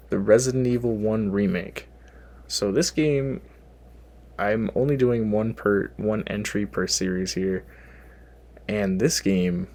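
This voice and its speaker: A man's voice: lowish voice, darkish tone. Sick, tired man talking about games. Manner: rambling, self-deprecating, frequent fillers. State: sick and sniffly. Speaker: gaming channel host. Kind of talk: casual gaming list commentary.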